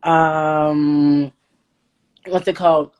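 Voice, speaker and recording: Low-voiced young-sounding Ghanaian-born woman, chatty. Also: steady tone, very slow, darkish tone, lo-fi sound. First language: Twi. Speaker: interview guest. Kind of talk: casual chat.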